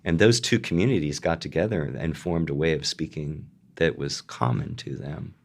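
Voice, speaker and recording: A man speaking as an interviewed dialect coach, explaining in a thoughtful, measured way. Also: a bass voice.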